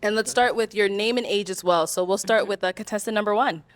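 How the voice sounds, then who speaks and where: A woman's voice: mid-range voice, quick pace. A woman hosting a dating show in a playful, upbeat way.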